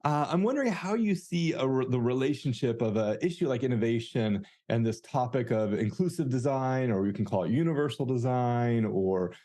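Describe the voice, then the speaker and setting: A man's voice: mid-range voice, expressive, moderate pace, few pauses, mellow. A man hosting a podcast, reading the intro and then asking questions with short encouraging affirmations. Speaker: podcast host.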